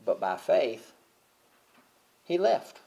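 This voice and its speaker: A middle-aged man preaching a sermon, talking casually and then swelling loud and high on key points. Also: big pitch swings, drawn-out words, vocal fry, rough recording. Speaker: pastor.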